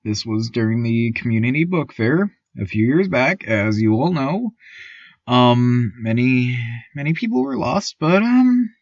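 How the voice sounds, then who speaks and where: A man playing a timid, awkward, eerily cheerful small-town radio news host, stammering and pausing through a surreal fiction podcast monologue. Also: mid-range voice, big pitch swings, a little mellow, dry recording. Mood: earnest, uneasy. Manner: hesitant, stammering, many ums and pauses. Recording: dull, lo-fi, slightly muffled sound.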